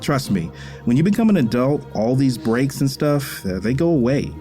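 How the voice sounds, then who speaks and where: A man acts out a middle-aged dad in a family drama. He is plain-spoken and teacherly, firm and caring at once. His pitch moves around freely, and music plays underneath. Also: big pitch swings, moderate pace, warm tone, lightly acted. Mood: earnest, advising. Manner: instructive, plain-spoken, colloquial. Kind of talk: naturalistic screen drama acting.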